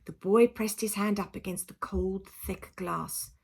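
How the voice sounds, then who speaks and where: A woman narrates a children's storybook at a medium pitch. She reads with dramatic emphasis and expressive phrasing, in the manner of a teacher reading aloud. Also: a little slow, vocal fry, husky. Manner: dramatic, emphatic, acts out sound effects.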